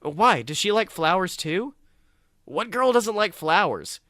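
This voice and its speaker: Friendly, persistent young storybook guy, acted by a male reader: bright, pitched up, coaxing. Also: big pitch swings, a little slow, no echo, clean studio sound, exaggerated voice. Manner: eager, persuasive.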